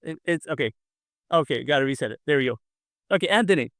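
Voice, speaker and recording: A man with a fairly high voice hosts a casual online quiz. He is encouraging and tends to repeat himself. The sound is close and dry, on a video call mic. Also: animated, fast talker, a bit bright, dry recording. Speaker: quiz show host. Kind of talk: casual game show hosting.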